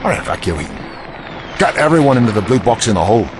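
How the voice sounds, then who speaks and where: A man's voice: mid-range voice, big pitch swings, fast, noisy background, natural voice. An actor playing a stubborn, emotional male Prime Minister in an audio drama. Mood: grim, resolute.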